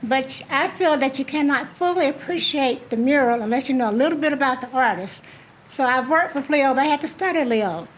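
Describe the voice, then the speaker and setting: Woman, soft voice, high pitch, heartfelt speech, faint ambient noise. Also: animated, a little fast, low-quality recording. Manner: heartfelt, storytelling. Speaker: program coordinator speaking at a ribbon cutting. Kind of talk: speech to an audience.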